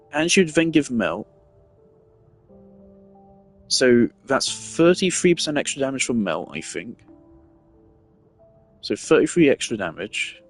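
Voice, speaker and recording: A man with a fairly low voice talks through a game in a casual streamer's style. He rambles analytically, thinking aloud as he goes. Music plays behind him. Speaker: gaming streamer.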